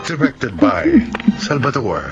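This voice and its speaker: A man announcing a radio drama as its host in a measured, deliberate scripted style. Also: medium pitch, wildly swooping pitch. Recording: dull lo-fi broadcast under music.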